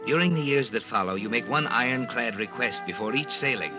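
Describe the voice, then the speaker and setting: An actor as the ominous narrator of a radio suspense tale, addressing the listener in a dramatic, knowing way. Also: male voice, mid-range voice, expressive, brisk, lightly acted. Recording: muffled vintage radio recording. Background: dramatic music.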